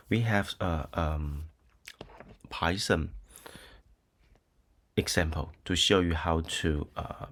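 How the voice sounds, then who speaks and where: Brief: middle-aged Taiwanese male professor, low voice, unhurried, filler-heavy lecturing. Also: vocal fry, fairly bright tone. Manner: hesitant, many ums and uhs, frequent "okay?" checks. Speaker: university lecturer. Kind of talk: recorded lecture with screen demo.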